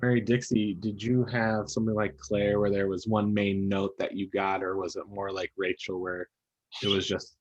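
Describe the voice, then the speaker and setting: A man with a fairly low voice hosts a panel interview. He speaks casually and informally, reworking each question as he asks it. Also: flat delivery, normal speed, dull audio. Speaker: interview host.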